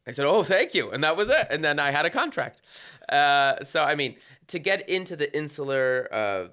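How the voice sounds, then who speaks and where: A man's voice: fairly high pitch, very animated, normal speed, sharp tone. A conductor being interviewed on a podcast, talking in a reflective way and often restarting his sentences. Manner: reflective, many restarts and fillers.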